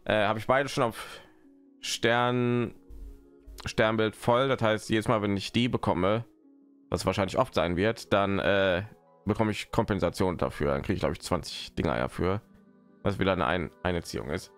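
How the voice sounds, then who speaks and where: A man's voice: lowish voice, moderate pace, fairly bright tone, soft background music. A man, streaming a game and rambling casually, muttering to himself as he plays. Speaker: live streamer. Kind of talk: casual gaming stream commentary.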